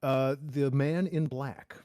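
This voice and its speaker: Mid-pitched man, casual and dry-sounding. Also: fairly even intonation, slow, mellow, no room sound. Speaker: podcaster in table read cast. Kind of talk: casual introduction and banter.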